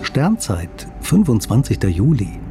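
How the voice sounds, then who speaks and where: A man reading a scripted science commentary on the radio with a gravelly voice. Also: mid-range voice, wildly swooping pitch, measured pace, loud music behind the voice. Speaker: science columnist.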